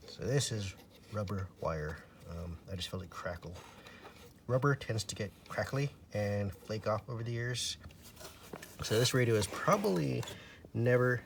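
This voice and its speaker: A man with a fairly low voice talks through a hands-on job in a casual, matter-of-fact way. He takes his time and sometimes trails off. Clear background noise and small handling clicks sit behind him. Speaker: radio repairer demonstrating. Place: indoors at a table.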